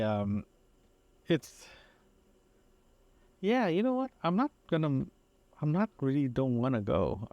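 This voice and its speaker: A man talks through a casual audio diary in a dry, close recording. His delivery is halting and meandering, circling back over phrases in an unpolished, conversational way. The pitch is average for a man. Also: a little mellow, dry recording. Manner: halting, repetitive, self-interrupting. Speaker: podcast host.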